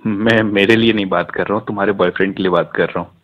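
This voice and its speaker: A man's voice: on the low side, fairly even intonation, fast talker. A man chatting casually on a recorded phone call, joking and teasing.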